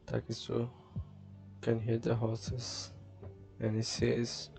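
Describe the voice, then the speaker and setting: Male streamer, heavily gravelly voice, unhurried and halting casual read-along, music underneath. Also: mid-range voice, monotone, a little mellow. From Bangladesh. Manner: halting, loosely paraphrasing as he reads. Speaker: streamer reading manga aloud.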